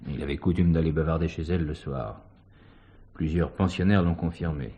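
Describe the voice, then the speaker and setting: An actor playing an authoritative, level-headed police superintendent, measured and deliberate, in a French crime drama. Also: male voice, rumbling low, phone-quality sound. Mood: pensive.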